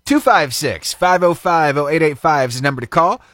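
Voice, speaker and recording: Male radio morning-show host, lively pitch, dry close studio sound, fervent then chatty. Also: normal speed, no room sound. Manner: animated, fervent praying then chatty and upbeat. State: slightly itchy throat, voice lower than usual. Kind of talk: prayer and casual radio chat. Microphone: studio microphone.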